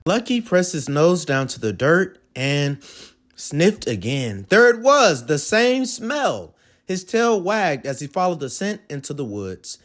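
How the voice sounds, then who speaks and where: A man hosts a storybook read-aloud for children. His voice sits high for a man and moves around a great deal. He tells the tale expressively, with plenty of drama. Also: sing-song, a little slow, a bit bright.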